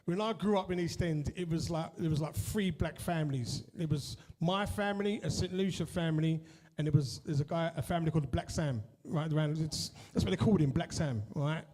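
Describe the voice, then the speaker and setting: A man's voice: a little high, fairly quick, darkish tone. A middle-aged man with an East London accent doing a stand-up set and chatting with the crowd, swearing freely and leaning on tags like "innit" and "right". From East London, England. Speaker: stand-up comedian. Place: comedy club with a live audience.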